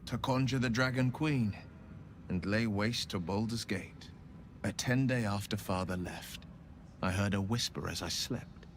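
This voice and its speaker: An actor playing a noble, resolute young hero who speaks in an earnest, rousing way. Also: male voice, fairly low pitch, measured pace, slight background noise. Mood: storytelling. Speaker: game companion. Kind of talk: video game dialogue.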